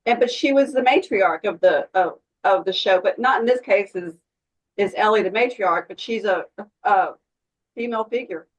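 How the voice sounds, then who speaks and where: A woman with a mid-pitched voice speaks as a participant in a casual group discussion. She rambles through her thoughts and circles back to restate them. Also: moderate pace, rough recording. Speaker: workshop participant.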